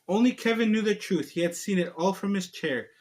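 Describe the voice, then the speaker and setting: A man's voice: high-pitched, a little fast, a little mellow. A man reading a storybook aloud and chatting casually to viewers, with a playful, giggly manner.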